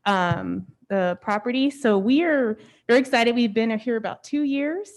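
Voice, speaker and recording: A woman's voice: fairly high pitch, normal speed. Nervous woman speaking at a meeting. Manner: nervous, laughing, apologetic. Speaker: member of the public commenting. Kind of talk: public comment. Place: council chamber.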